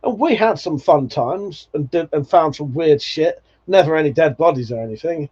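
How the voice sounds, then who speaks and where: A man in his forties talks loosely on a live stream, reading viewer comments and drifting into nostalgic memories. He swears freely and laughs often, and his voice sits a little high for a man. The sound is dull and lo-fi. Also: expressive, normal speed, darkish tone, husky. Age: 40s. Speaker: livestream host.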